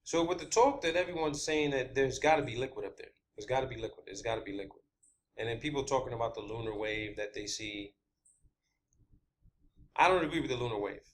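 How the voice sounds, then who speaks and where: A man's voice: medium pitch, fairly quick, a little hiss or hum. A man hosting his own video channel, talking off the cuff in an emphatic, explanatory monologue and repeating phrases for stress.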